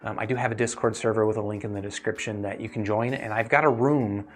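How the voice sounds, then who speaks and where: A man hosting a casual livestream as a gear reviewer, chatting with viewers in a halting, think-aloud way. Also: lowish voice, steady tone. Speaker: host, audio gear reviewer.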